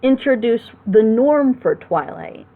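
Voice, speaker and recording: A woman's voice: on the high side, big pitch swings, takes it slowly. A woman hosting a group reaction show, chatting casually as she reviews an audio drama. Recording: noisy phone-like voice call.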